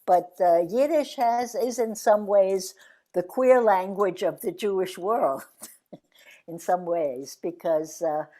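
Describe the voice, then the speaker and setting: A woman's voice: medium pitch, slow, dark tone. An older woman in a reflective video-call conversation, speaking earnestly with frequent restarts. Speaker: interviewee.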